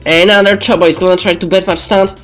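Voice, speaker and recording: A man voicing excited, jeering middle school kids watching a match in a visual novel. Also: sits high, quick pace, soft background music, pitched above the performer's own voice. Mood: jeering, eager.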